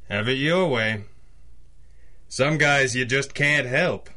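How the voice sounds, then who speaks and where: Forceful, gruff police lieutenant. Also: male voice, medium pitch, slow pace, faint music behind. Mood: gruff, warning. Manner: gruff, dismissive, clipped. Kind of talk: old-time radio cop drama acting.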